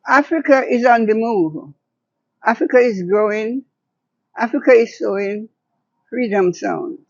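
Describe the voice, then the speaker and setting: A woman's voice: on the high side, expressive, measured pace, gravelly, a little mellow. An older woman, speaking as a guest and poet in dull, lo-fi audio. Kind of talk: reminiscing in conversation and reciting own poetry.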